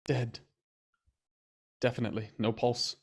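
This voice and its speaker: A man playing a measured, cautious doctor in a radio murder mystery, clipped and matter-of-fact. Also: mid-range voice, little pitch movement, gravelly, slightly rough. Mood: grave, clinical.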